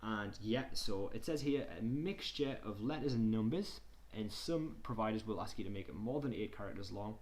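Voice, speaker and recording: A man's voice: fairly low pitch, normal speed, few pauses, darkish tone. A man tutoring in an informal online lesson, reading slides aloud and commenting in a hesitant, conversational way, often repeating himself. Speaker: tutor presenting a digital skills session. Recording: clear background noise.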